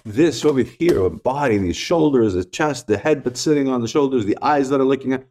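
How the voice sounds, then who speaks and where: A man's voice: medium pitch, lively intonation, brisk, darkish tone. A middle-aged man whose first language is Greek, a podcast guest telling stories and reflecting in an earnest, emphatic way. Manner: earnest, emphatic, repeats key points. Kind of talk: reflective conversational storytelling.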